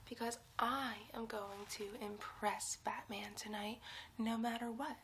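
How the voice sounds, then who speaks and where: A woman's voice: a little high, steady tone, unhurried, fairly bright tone, slight background noise. A woman voicing a giddy, bossy young superhero sidekick in a whispered, theatrical, teasing ASMR roleplay. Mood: scheming, eager.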